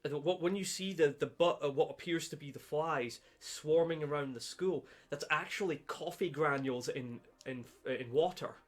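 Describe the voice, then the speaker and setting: A man co-hosting a film podcast in casual chat, halting and rambling, with casual swearing. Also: fairly high pitch. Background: background music.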